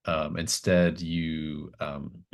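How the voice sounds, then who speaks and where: Male game master, very deep voice, improvised tabletop narration, halting, tentative phrasing. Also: a little mellow, lo-fi sound.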